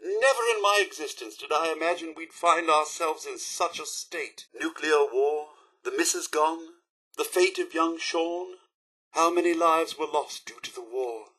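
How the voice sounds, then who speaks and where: A man voicing a prim, fussy robot butler in a video game, with a British accent, a raspy edge and formal, theatrical, deferential delivery. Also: fairly high pitch, unhurried, bright, forceful tone, exaggerated voice, rough recording. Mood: mournful.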